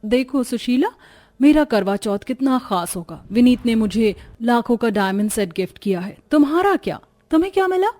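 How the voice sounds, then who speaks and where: A woman plays a spoiled, boastful young wife from a cartoon family drama. She sounds haughty and demanding, in a high, softly mellow voice. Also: animated, moderate pace, lightly acted. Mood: smug, boastful. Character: boastful, greedy, spoiled young wife mocking her sister.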